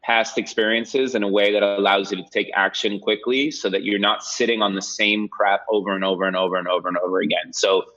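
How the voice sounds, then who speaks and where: A man's voice: lowish voice, fairly even intonation, fairly quick, hardly any pauses, fairly bright tone. A man being interviewed as a podcast guest, talking reflectively and conversationally, often checking in with a "right?". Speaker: podcast guest, real estate agent. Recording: dull, lo-fi sound.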